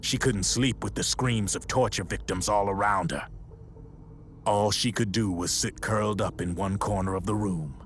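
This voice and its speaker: A man playing a grim, folksy soldier in a video game, telling a dark history in a measured, ominous way. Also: sits low, slight background noise. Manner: measured, ominous, colloquial storytelling. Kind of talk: dramatic game cutscene storytelling.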